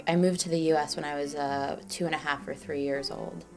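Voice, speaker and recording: A young woman from Chile talks through her own story in a relaxed, chatty flow, peppering it with "like" and little acted quotes. Her voice sits low for a woman. Guitar music plays clearly beneath her. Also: brisk. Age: 20s. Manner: chatty, lots of "like", acts out quotes. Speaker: documentary subject. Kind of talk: casual personal storytelling. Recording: music playing underneath.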